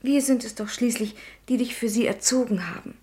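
An actress playing a devoted, self-sacrificing young sister and bride in a German radio drama, with an earnest, emotional delivery. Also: female voice, a little high, steady tone, a little fast, a little mellow, close to an everyday voice. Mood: tender, agitated.

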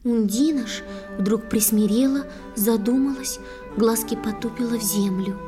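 A woman with a mellow, somewhat high voice tells a verse story. She is soft and lyrical, phrasing with care in a theatrical storybook style, with music beneath. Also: normal speed. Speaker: narrator in an audio play. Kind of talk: tender dramatic verse storytelling. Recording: music under the voice.

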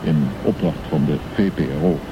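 A man's voice: a bass voice, moderate pace. A man reading scripted narration and credits for a radio drama, as narrator and announcer. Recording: dull sound with loud background noise.

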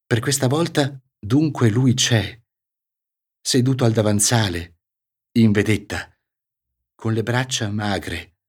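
A man with a northern Italian accent from Ferrara reading a literary story for radio, with measured, theatrical phrasing and deliberate pauses. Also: on the low side, husky. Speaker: actor reading a short story. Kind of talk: literary audiobook narration for radio.

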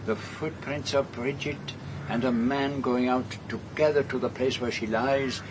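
A shrewd, courteous detective played by a man speaks with a French accent in a radio mystery. He is formal and deliberate, choosing each word with precision. Faint noise sits behind him. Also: medium pitch, steady tone, lightly acted. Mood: measured, observant. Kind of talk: radio drama acting.

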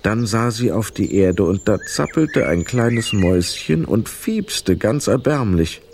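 Low-voiced male storyteller. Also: animated, unhurried, vocal fry, darkish tone, slight background noise. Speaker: narrator. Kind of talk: scripted storytelling for children's radio play.

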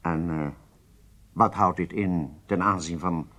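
A man's voice: low voice, fairly even intonation, slow pace, a bit bright. An actor playing a frank, questioning male intelligence colleague in a radio drama, direct, informal and probing. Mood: concerned.

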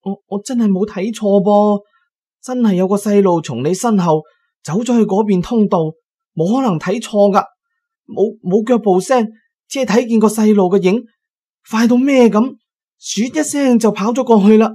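A man's voice: sits high, normal speed, dark tone, husky, voice pushed up. A man voicing a timid, superstitious young mountain woman who speaks in an urgent, stammering way. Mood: frightened, urgent.

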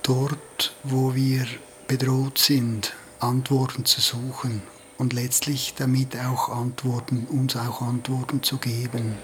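A man speaking reflectively and unscripted, in halting, thoughtful phrases. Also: medium pitch, flat delivery, slight background noise.

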